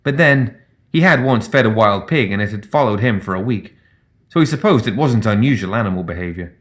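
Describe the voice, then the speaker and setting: A man's voice: fairly low pitch, lively intonation, a little fast. Man narrating a fantasy story. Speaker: audiobook narrator.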